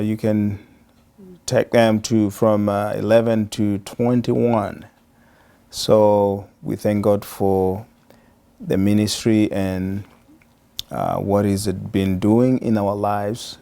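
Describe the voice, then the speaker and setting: A slow-spoken pastor from Tanzania with a low, mellow voice, telling his life story. He talks in an unhurried, halting way and fills his pauses with plenty of "uh" and "um". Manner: hesitant, many fillers.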